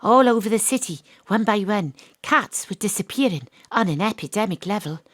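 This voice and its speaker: An actress playing a brooding, tender-hearted gumshoe detective, narrating an audio drama in wistful noir style. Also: female voice, medium pitch, expressive, moderate pace, a bit bright, soft background music. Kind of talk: scripted first-person audio drama narration. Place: dry home studio.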